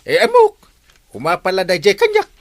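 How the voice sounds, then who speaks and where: An actor playing an excitable village captain in a comedy radio drama, starting lines with "eh" and bursting into squeals and whoops. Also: mid-range voice, normal speed, no room sound. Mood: whiny, amused.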